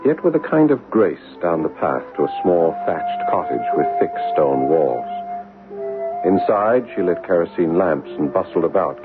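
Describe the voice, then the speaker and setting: Troubled man, deep, measured, dramatic radio-play delivery, music behind. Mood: brooding narration. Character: troubled grown son, wary, stubborn, narrating his eerie visit. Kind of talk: old-time radio drama acting and first-person narration. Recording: tinny old radio broadcast, music behind.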